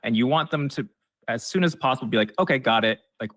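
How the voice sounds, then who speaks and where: A man's voice: mid-range voice, quick pace, a bit bright, no room sound. A man explaining things as an expert guest in a casual interview, often acting out imagined audience reactions in a conversational way.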